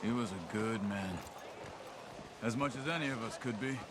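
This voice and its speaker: Man playing weary thinking outlaw, game drama, low-pitched, measured and wry, noisy background. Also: close to an everyday voice. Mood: somber. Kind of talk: cinematic game dialogue.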